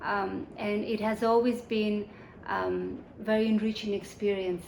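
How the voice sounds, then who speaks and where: A woman in her forties from Kazakhstan, answering interview questions in a measured, thoughtful way with many pauses and restarts. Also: mid-range voice, flat delivery, creaky, darkish tone, echoey room, a little hiss or hum, low-quality recording. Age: 40s. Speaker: guest, UN section chief.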